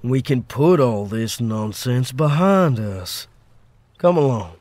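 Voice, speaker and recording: Man acting a smug, slow-talking manic fungal doctor. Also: medium pitch, animated, darkish tone. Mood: coaxing. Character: fungus-infected male doctor, smug, manic cult-like persuader. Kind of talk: comic dub voice acting.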